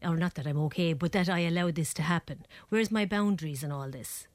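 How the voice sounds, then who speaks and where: A woman's voice: fairly low pitch, fairly quick, no echo. Woman with a head cold. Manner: earnest, explanatory, frequent tag questions. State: getting over a head cold. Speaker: psychotherapist guest. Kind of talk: radio interview.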